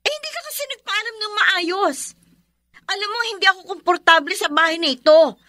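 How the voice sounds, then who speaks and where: An actress playing a young, pleading and remorseful wife with a Filipino accent in a radio melodrama. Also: female voice, very high-pitched, expressive, brisk, pushed and bright, heavily acted. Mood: hurt, confronting. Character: tearful young pregnant wife, remorseful daughter, pleading and hurt.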